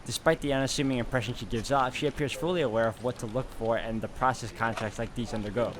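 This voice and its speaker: A man's voice: medium pitch, fairly even intonation, fast, slight background noise. A man hosting a game playthrough, reading visual novel narration aloud.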